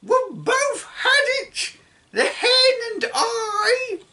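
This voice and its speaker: A woman voicing a nasty old grandmother who shrieks and cackles in a shrill, screeching voice. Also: sing-song, very slow, sharp tone, heavily acted. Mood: cackling shrieking. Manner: gleeful, mocking.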